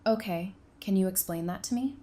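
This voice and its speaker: A woman playing a candid, caring, level-headed survivor in an audio drama, speaking in a measured, frank way. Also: mid-range voice, warm tone, close to an everyday voice. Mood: curious, caring. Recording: faint background noise and ambience.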